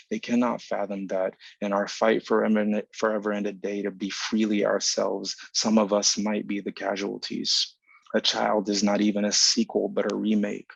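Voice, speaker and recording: A man giving a literary reading of a poetic essay, measured and deliberate in formal phrasing, over a video call. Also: lowish voice, creaky, breathy edge, no echo. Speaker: writer reading own work. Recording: dull lo-fi online call audio.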